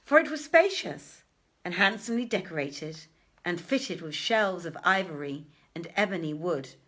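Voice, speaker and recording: A woman reading a literary tale aloud as a storyteller, theatrical and deliberate, with dramatic pauses and swells. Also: on the low side, animated, a bit bright. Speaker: storyteller, reader.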